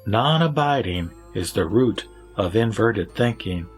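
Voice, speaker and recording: Man doing a wise solemn sage giving teachings, lowish voice, music underneath. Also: expressive, unhurried. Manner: solemn, formal. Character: Vimalakirti, wise male lay teacher. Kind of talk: audio drama sutra recitation.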